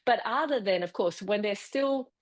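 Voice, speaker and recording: A woman's voice: mid-range voice, normal speed. A middle-aged woman from Brazil with an Australian accent, a fertility specialist answering at length in a podcast interview, explaining thoughtfully with some restarts.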